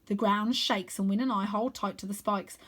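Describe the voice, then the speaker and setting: A woman reading a children's story aloud in an expressive narrating style. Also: mid-range voice, normal speed. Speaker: storybook reader.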